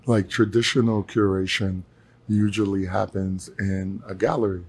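A young man with a hoarse voice co-hosting a casual podcast, joking in slang. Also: deep voice, little pitch movement, moderate pace, darkish tone. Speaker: podcast co-host.

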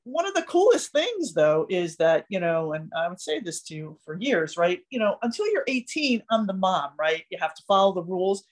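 A woman's voice: on the low side, sing-song, normal speed, few pauses. A middle-aged woman, a mother guesting on a podcast, talking casually and earnestly, often checking in with "right?". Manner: earnest, talks with rhetorical check-ins like "right?". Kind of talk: casual heartfelt conversation.

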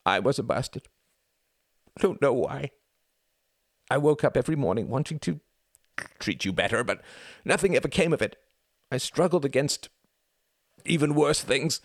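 A man's voice: lively intonation, normal speed, gravelly, darkish tone, no room sound, studio quality. A man voicing an injured older brother who sobs and pleads, his voice breaking under guilt. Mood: anguished. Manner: pleading, voice breaking, strained and pitched up. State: sobbing. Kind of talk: audiobook character reading.

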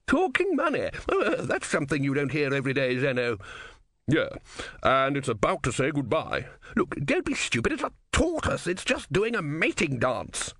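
A man's voice: on the high side, sing-song, moderate pace, fairly bright tone, no echo, clean studio sound, voice pushed up, heavily acted. A man voicing a crowd of petulant male philosophers squabbling over bets.

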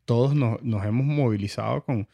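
A man with a Venezuelan accent and a gravelly, creaky voice at an average male pitch. He hosts in a casual, reflective way, haltingly circling back over words as he thinks. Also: warm tone. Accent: Venezuelan Spanish. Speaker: interview host.

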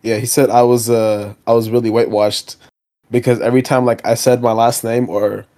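A young man co-hosting a laid-back podcast chat, his voice sitting at an ordinary middle pitch. He explains things haltingly, restarting his sentences and circling back as he goes. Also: level tone, warm tone. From Pakistani family background. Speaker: podcast co-host. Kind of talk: casual chat.

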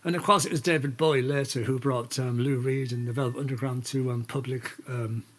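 A man's voice: medium pitch, fairly even intonation, brisk. An older man chatting casually as a solo podcast host, hesitant and digressive, with wry, opinionated asides. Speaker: podcast host and amateur musician.

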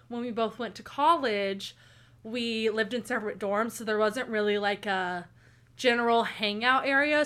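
A young woman in her early twenties co-hosting a casual chat podcast. Her voice sits a little high and sounds bright and clear. She talks in an upbeat, rambling way, full of "like" and "um". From Tulsa, Oklahoma. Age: 20s. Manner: chatty, upbeat, lots of fillers. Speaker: podcast co-host.

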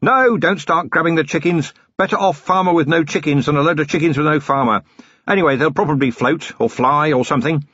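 A male narrator voicing a gruff, tired but commanding city police commander in an audiobook, giving brisk, clipped orders. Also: fairly high pitch, sing-song, a bit bright, dry recording, lo-fi sound, voice pushed up, exaggerated voice.

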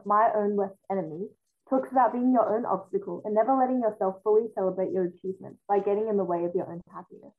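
A teenage girl who grew up in China and Australia, with Mandarin as her first language, co-hosting a radio show informally. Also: mid-range voice. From China, then Australia from early childhood. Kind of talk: casual radio chat with scripted links. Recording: thin, phone-like band-limited sound.

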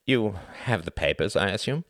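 A man's voice: on the low side, lively intonation, fairly bright tone, dry recording, voice pushed up. Man acting a smug, resentful grown son. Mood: attempted dryness. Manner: dry, deliberate, self-important.

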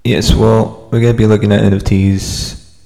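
A man's voice: fairly low pitch, level tone, normal speed, vocal fry, mellow, long reverb tail. A man in his thirties from California, co-hosting a podcast in a hesitant, casual chat with frequent laughs. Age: 30s. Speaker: podcast co-host.

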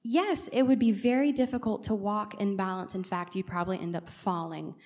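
A woman's voice: medium pitch, fairly even intonation, a little fast, darkish tone. A woman, a guest preacher and native English speaker, giving a conversational church sermon and often putting questions directly to the congregation. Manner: engages listeners with frequent direct questions.

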